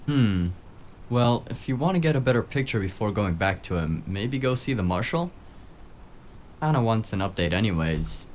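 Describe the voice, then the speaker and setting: An actor playing a cheeky, eager, tech-savvy sidekick in a noir audio drama, with breezy, casual banter. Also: male voice, fairly low pitch, animated, moderate pace, darkish tone, slight background noise, lightly acted.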